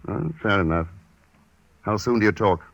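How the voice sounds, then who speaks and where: A man's voice: on the low side, normal speed, creaky, fairly bright tone, no room sound. An actor playing a cool, shrewd male investigator in a vintage radio adventure drama, clipped and composed. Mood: cool, businesslike. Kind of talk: 1940s radio drama acting. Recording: noisy old radio recording.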